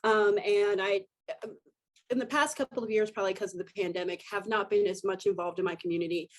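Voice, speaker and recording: A woman's voice: medium pitch, fairly even intonation, a little fast. Enthusiastic woman telling her story. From Texas. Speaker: podcast guest, communication professor. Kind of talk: casual podcast chat.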